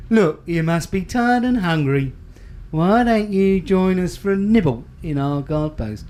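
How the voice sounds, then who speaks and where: A man's voice: sits high, animated, measured pace, a little mellow. A man playing an eager young airman sidekick, chirpy and full of quips. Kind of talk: amateur comic radio play.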